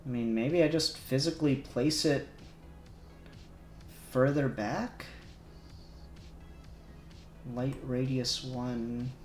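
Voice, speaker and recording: A man's voice: mid-range voice, steady tone, takes it slowly, pauses often, darkish tone, soft background music. A man co-hosting a casual livestream, muttering to himself and trailing off as he works. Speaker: livestream co-host. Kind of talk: casual livestream chat while working. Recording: echoey room.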